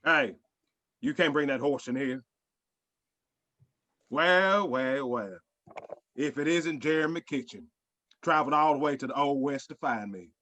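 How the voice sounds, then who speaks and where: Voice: mid-range voice, a little slow, pauses often, fairly bright tone, close to an everyday voice. A performer playing a gleeful, taunting mad scientist professor in a theatrical sci-fi script reading, gloating with maniacal laughter. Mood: smug, menacing. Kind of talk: live dramatic reading of a sci-fi screenplay.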